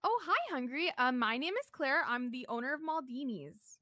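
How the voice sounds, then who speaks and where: A woman playing a bossy, gushing, foul-mouthed pizzeria owner in an online game role-play, pushy and excitable. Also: sits high, moderate pace, no room sound, clean studio sound, full character voice. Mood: gushing, sweet. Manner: loud, pushy, excitable, swearing.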